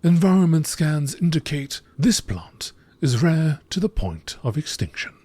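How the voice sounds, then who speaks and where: A man narrating scripted nature documentary entries in a measured, formal, deliberate way, with a husky, breathy voice. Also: on the high side, big pitch swings, mellow, slight background noise. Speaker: narrator reading game lore entries.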